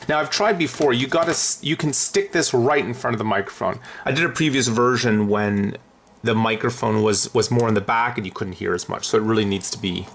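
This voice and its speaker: A man's voice: mid-range voice, slight background noise. A man talking casually and unscripted through a hands-on how-to as an aviation vlogger.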